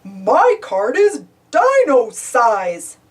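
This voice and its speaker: A woman voicing a friendly big male dinosaur in a storybook, gently explaining. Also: sits high, wildly swooping pitch, slow, distant mic, voice pushed up, full character voice. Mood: gentle.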